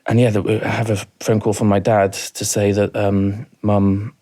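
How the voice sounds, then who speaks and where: A man with a Welsh accent from rural mid Wales, in his thirties, telling a painful personal story as a podcast guest, halting and repeating words as he goes. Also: lowish voice, flat delivery, mellow.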